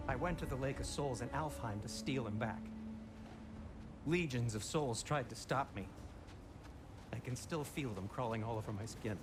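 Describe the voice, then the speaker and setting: A man's voice: medium pitch, normal speed, pauses often, music in the background, close to an everyday voice. An actor playing an anxious but kindly, fussy male dwarf in a video game cutscene. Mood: guilty, confessional.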